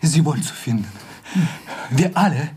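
An actor playing an effusive young Russian student in a radio drama, gushing reverently in a husky voice. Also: male voice, medium pitch, expressive, measured pace, darkish tone. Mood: elated, reverent.

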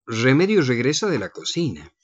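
Argentine man, radio co-host and narrator, mid-pitched and lively, over noticeable noise. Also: normal speed, lo-fi sound. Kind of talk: scripted narration and radio presenting.

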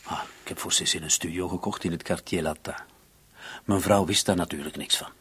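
An actor playing a defensive, irritable murder suspect in his late thirties, husky and breathy, in a radio drama. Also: deep voice, fairly even intonation, brisk, a bit bright, exaggerated voice. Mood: earnest, subdued. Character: defensive, irritated 37-year-old male murder suspect in love. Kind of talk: Dutch-language radio drama dialogue.

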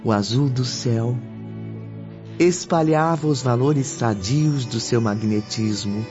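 A man's voice: medium pitch, takes it slowly, close to an everyday voice. An actor playing a reflective male narrator recalling the afterlife in a radio drama, with a contemplative, lyrical delivery. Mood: contemplative, admiring. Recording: muffled recording with faint music.

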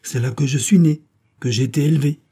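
A man's voice: mid-range voice, a little fast, warm tone, husky, voice pushed up. A man voicing a young reindeer who talks about his snowy homeland in a wistful, eager way.

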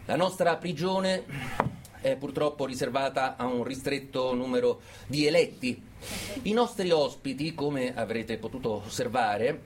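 An actor playing a smug, mock-cheerful prison host, unctuous and sardonic, in satirical radio theatre. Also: male voice, medium pitch, unhurried, slight background noise, close to an everyday voice. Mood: mock-courteous, sardonic. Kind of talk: satirical stage-style radio theatre monologue.

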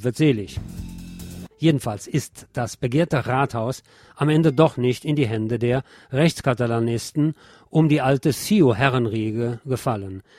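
A man's voice: mid-range voice, a little mellow. A man reading scripted radio news and commentary in a wry, sardonic manner. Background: guitar music.

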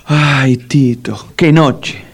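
An actor playing an eager, helpful young neighbour in a comic radio play, with an Argentine accent and colloquial manner. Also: male voice, mid-range voice, fairly even intonation, very slow, gravelly, husky, exaggerated voice. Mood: conspiratorial. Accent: Argentine, Rioplatense.

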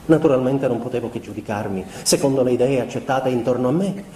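A male actor playing an introspective, guilt-ridden young man, delivering a literary stage monologue in measured, expressive recitation. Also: mid-range voice, lightly acted. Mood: self-reproaching. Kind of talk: literary theatrical monologue in a confessional tone. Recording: roomy echo, clear background noise.

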